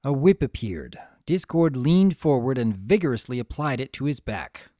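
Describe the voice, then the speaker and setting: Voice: medium pitch, big pitch swings, moderate pace, mellow, no room sound, clean studio sound. A narrator giving a dramatic reading of a story in a scripted storytelling style.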